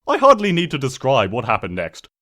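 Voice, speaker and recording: A man's voice: mid-range voice, wildly swooping pitch, brisk, bright, forceful tone, heavily acted. A man voicing a smug, mischievous trickster spirit who narrates a comic storybook in a pompous, sardonic, theatrical way. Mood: gleeful, mocking.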